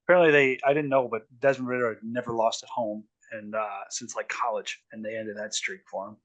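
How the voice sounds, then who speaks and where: A man hosts a casual sports chat, his voice at an ordinary pitch. He rambles easily, with plenty of ums and restarts. The room sounds dry and close, with no echo. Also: fairly even intonation, fairly quick, a bit bright, highs cut off. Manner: loose and chatty, many ums and restarts. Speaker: podcast host.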